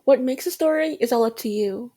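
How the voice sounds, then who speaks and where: A woman's voice: a little high, a little fast, dark tone. A young woman reading scripted narration as the student narrator of an audio drama. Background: music behind the voice.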